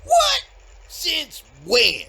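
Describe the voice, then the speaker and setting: A man playing a bossy, dim-witted Southern backwoods older brother in an audio comedy, with a folksy drawl and a raspy, strained voice. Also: extremely high pitch, wildly swooping pitch, drawn-out words, heavily acted. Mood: indignant. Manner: folksy, loud, scolding, ungrammatical drawl. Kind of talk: audio comedy-horror acting.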